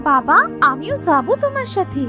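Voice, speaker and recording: A woman's voice: sits high, big pitch swings, normal speed, music in the background. A woman voicing an eager, childlike village girl who pleads with her parents in a cartoon story. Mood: pleading. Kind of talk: Bengali cartoon story voice acting.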